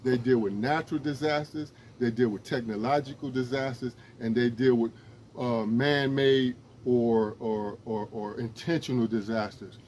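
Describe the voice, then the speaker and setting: A man's voice: mid-range voice, steady tone, normal speed, darkish tone, a little hiss or hum. Earnest man making a rambling appeal. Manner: earnest, pleading, rambling with restarts. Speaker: emergency management instructor. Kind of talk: casual direct-to-camera appeal.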